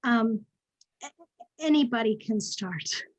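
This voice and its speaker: A woman's voice: on the high side, lively intonation, slow. A woman hosting a casual online conversation with artists and encouraging her guests. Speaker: host. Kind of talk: casual video-call chat.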